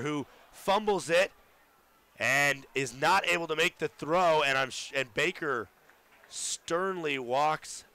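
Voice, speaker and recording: A young man calling baseball play-by-play on radio from a ballpark booth, getting loud and excited on big plays. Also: medium pitch, clean tone. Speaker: play-by-play announcer.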